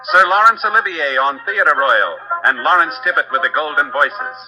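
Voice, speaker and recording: A man's voice: fairly high pitch, lively intonation, moderate pace, music in the background, low-quality recording. A man announcing on network radio in a formal, promotional 1950s style.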